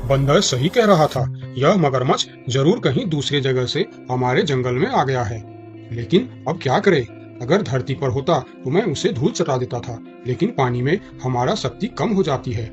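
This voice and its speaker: A man's voice: medium pitch, animated, normal speed, lightly acted. A man voicing a big, earnest jungle animal in a children's cartoon, in a dull recording with music. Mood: doubtful. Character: big male jungle animal, earnest, doubtful but appreciative.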